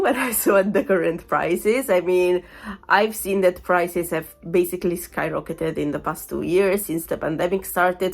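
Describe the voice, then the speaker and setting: A woman in her thirties chatting through a vlog unboxing, still getting over a cold, rambling with plenty of fillers and small laughs. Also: lowish voice. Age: 30s. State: recovering from a cold, voice sounds off. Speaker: vlogger. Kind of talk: casual unboxing chat.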